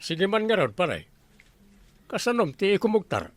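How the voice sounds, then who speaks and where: A man's voice: high voice, wildly swooping pitch, unhurried, dry recording, exaggerated voice. A man with an Ilocano accent acting as a teasing, exasperated village captain in a radio comedy drama. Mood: teasing, challenging. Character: male village captain testing recruits for watchman, bantering, exasperated.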